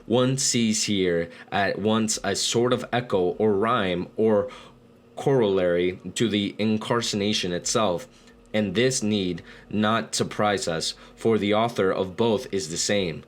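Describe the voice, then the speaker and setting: Guy with a lowish voice doing a book read along, informal, kinda sweary bro talk. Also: takes it slowly. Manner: profane, informal asides with bro slang. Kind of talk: reading a book aloud with casual, slangy commentary.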